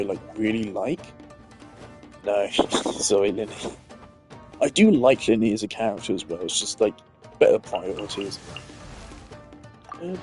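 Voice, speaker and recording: A man's voice: on the low side, darkish tone. A man streaming a video game, giving casual, opinionated commentary full of filler words.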